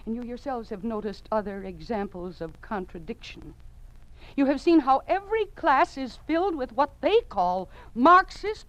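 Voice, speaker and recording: An actress playing an earnest, defiant schoolteacher, speaking in emphatic oratory with dramatic pauses, in old-time radio drama style. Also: female voice, sits high, poor audio. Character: earnest, defiant woman schoolteacher secretly urging students toward truth.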